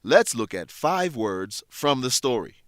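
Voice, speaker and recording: A male narrator presents a scripted story recap and English lesson. His mid-pitched voice is very expressive in intonation, and he explains things to the listener in a dry studio sound. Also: a little slow, no echo. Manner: clear, explanatory, addressing listeners.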